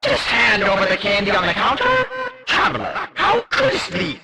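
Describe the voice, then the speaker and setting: A jesty ancient skeleton merchant from a game, with a gravelly, creaky edge. He declaims in theatrical archaic phrases, pitched fairly high and swooping widely through each line. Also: huge pitch swings, normal speed, very noisy, exaggerated voice. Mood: excited haggling. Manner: theatrical, archaic, exclamatory, riddling. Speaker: character voice for a game.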